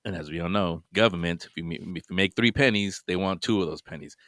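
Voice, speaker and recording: A man's voice: low voice, fast talker, a bit bright. A man guesting on a podcast, giving earnest advice in casual chat, often restarting his sentences.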